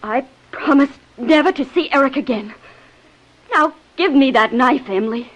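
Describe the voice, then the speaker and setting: A woman's voice: high-pitched, normal speed, muffled recording. A woman playing a haughty, scheming young society wife in theatrical 1950s radio style. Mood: pleading. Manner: theatrical, disdainful.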